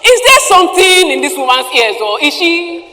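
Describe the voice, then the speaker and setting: Woman acting a sharp, mocking market trader. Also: very high voice, sing-song, normal speed, heavily acted. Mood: exasperated. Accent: Nigerian. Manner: emphatic, repetitive, sarcastic. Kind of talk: theatrical stage play acting. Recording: echoey theatre hall, long reverb.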